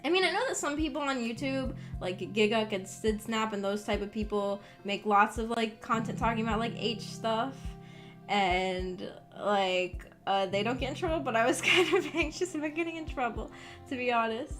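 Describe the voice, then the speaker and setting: High-voiced young woman, rambling streamer. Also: normal speed. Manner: rambling, giggly, hesitant. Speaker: VTuber streamer. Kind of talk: casual livestream chat. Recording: background music behind voice. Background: music.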